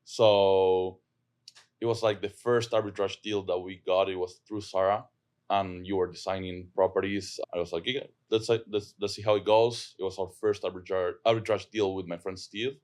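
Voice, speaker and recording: A man's voice: low voice, steady tone, normal speed, a little mellow. A man with an Argentine accent, a property manager talking as a podcast guest, earnest and often repeating words as he thinks. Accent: Argentine Spanish. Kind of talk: casual podcast chat.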